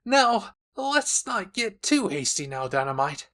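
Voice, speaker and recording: Man voicing high, cheeky male vigilante. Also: very animated, takes it slowly, fairly bright tone, exaggerated voice. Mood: nervous, teasing. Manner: playful, mocking, cheeky. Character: flippant, taunting, delusional adult male vigilante, higher-voiced. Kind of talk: anime audio roleplay acting.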